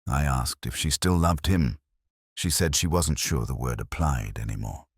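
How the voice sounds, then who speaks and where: A man with an extremely low voice narrates a scripted detective story. His delivery is measured and solemn, with a literary turn and a pitch that moves expressively. The sound is close and dry. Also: animated, creaky, husky, dry recording.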